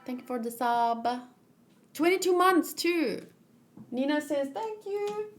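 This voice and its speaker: A woman's voice: high voice, measured pace, faint music behind. A woman streamer doing a live read-along, mixing reading aloud with casual commentary.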